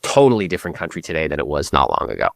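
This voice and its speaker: A Canadian man answering interview questions in a grave, measured way, slowing down to stress words. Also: very deep voice, huge pitch swings, gravelly, no echo, studio quality. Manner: grave, measured, slows for emphasis. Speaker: guest writer.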